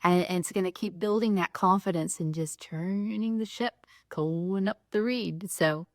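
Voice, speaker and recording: A woman's voice: lowish voice, unhurried, dry recording, clean studio sound. A woman co-hosting a spiritual talk show, encouraging and affirming listeners. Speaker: co-host.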